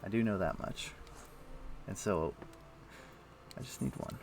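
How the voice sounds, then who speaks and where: Male game streamer, lowish gravelly voice, halting casual commentary, muttering to himself. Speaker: game livestreamer. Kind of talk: casual livestream chat with gameplay commentary.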